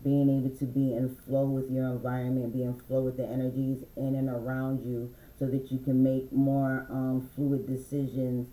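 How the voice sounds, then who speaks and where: A man giving a relaxed, meandering spiritual card reading, reading affirmations aloud slowly, with many fillers. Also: medium pitch, echoey room, low-quality recording. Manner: slow, reads affirmations aloud. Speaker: spiritual reader.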